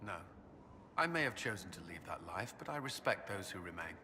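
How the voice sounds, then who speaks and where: An actor playing an earnest, haunted military commander and former knight who is tender in love, in a video game cutscene. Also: male voice, lowish voice, sing-song, pushed and bright, heavily acted. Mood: earnest, grave. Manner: halting and hesitant when speaking personally. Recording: long reverb, background noise.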